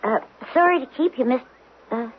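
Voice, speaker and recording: A woman's voice: high voice, expressive, brisk. A woman in a radio drama playing a plainspoken, hesitant backwoods cabin woman with a rural American accent. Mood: hesitant, hospitable. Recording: muffled noisy old radio.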